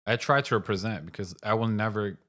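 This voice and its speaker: A man's voice: lowish voice, fairly quick, fairly bright tone. A middle-aged man from Syria, a cafe owner giving blunt, short answers in a casual interview.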